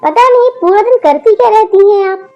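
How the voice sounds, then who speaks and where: A woman's voice: very high voice, lively intonation, a little fast, muffled recording, soft background music, pitched above the performer's own voice. A woman doing a whiny, bratty college girl. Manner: whiny, snapping, rude. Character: rude spoiled college-age daughter.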